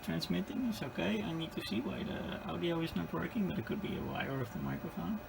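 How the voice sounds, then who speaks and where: A Dutch-accented man in his forties talks slowly through a repair at his workbench, hesitating as he goes. His voice is gravelly and rises and falls a lot. Steady room noise sits clearly behind him. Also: on the high side. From Netherlands. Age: 40s. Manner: thinks aloud step by step, hesitant with fillers. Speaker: hobbyist repairing a CB radio. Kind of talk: hands-on repair vlog.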